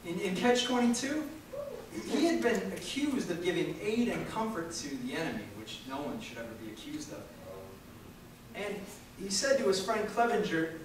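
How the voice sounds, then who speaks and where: Male orator, higher-pitched and emphatic, delivering an impassioned political speech, heard from afar. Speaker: speaker reading a historical speech aloud. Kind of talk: impassioned political speech reading at a public event. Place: large echoey room at a live university event. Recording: echoey hall from far off, faint noise.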